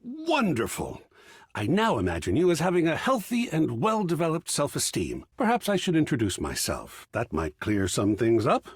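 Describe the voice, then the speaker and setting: A man's voice: fairly high pitch, huge pitch swings, moderate pace, breathy edge, dry recording, studio quality, full character voice. A man voicing a whimsical, pretentious living artwork in a video game, with a theatrical, florid and effusive manner. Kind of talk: video game character acting.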